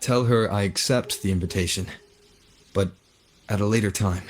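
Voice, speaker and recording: An actor playing a calm, reserved young male hero in a video game, with a terse, serious, understated delivery. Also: lowish voice, moderate pace, natural voice.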